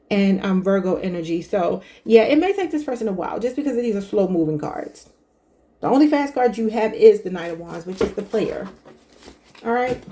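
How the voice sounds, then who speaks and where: A woman's voice: medium pitch, dark tone, slight background noise. A woman giving a casual tarot reading to her viewers, chatty and thinking aloud, calling them y'all. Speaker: tarot reader.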